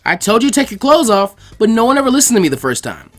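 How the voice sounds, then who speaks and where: Story reader doing a cocky teen ninja kid, defiant, animated, music behind. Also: medium pitch, fast, pitched above the performer's own voice. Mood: defensive. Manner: casual, defiant, mouthy. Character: brash, cheeky teenage ninja boy.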